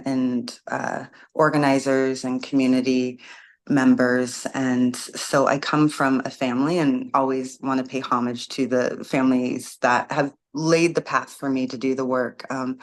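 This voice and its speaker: Woman guest, community organizer; unhurried, reflective, filler-heavy delivery. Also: a bass voice. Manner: thoughtful, many ums and restarts. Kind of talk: casual interview conversation.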